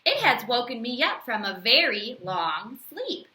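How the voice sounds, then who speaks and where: Storybook giant bird, played by a woman: ancient but excitable, grand and friendly, very bright, big swings in pitch. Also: roomy sound, exaggerated voice. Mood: delighted, pleading. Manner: grand, exclamatory, drawn-out words. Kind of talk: storybook character read-aloud.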